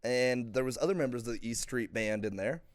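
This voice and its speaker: Brief: young male comedy podcast host, casual and jokey, mid-pitched voice, prone to goofy impressions. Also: fairly quick. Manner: jokey, breaks into silly impressions and sung bits.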